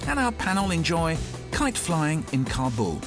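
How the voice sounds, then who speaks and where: A man narrating a programme in a clear, even, scripted voice-over. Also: on the high side, a little slow. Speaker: narrator and interviewer. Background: background music.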